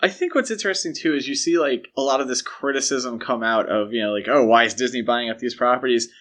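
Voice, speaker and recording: A man's voice: mid-range voice, fast talker, a bit bright. Man co-hosting an explanatory podcast. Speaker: podcast co-host. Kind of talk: casual film franchise discussion.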